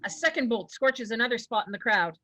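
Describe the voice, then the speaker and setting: A woman's voice: fairly high pitch, animated, a little fast, bright, forceful tone. A woman reading scripted stage directions as the narrator of a table read.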